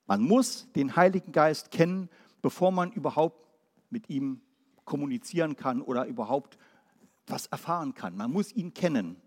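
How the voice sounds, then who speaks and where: A man from Berlin preaching a Pentecostal sermon, firing off rhetorical questions and then dropping quiet and low. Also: lively intonation, normal speed, big-room sound. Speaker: pastor.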